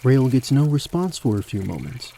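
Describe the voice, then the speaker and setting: A man's voice: mid-range voice, very animated, normal speed, vocal fry, mellow, slight background noise. A man narrating a scripted fantasy story as the narrator of an audio drama.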